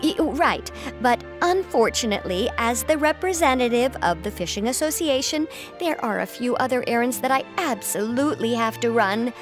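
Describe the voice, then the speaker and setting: A woman playing an earnest, superstitious, excitable association representative in an anime-style video game, with a dramatic storytelling manner. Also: on the high side, moderate pace, few pauses, music in the background, natural voice. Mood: relieved, earnest.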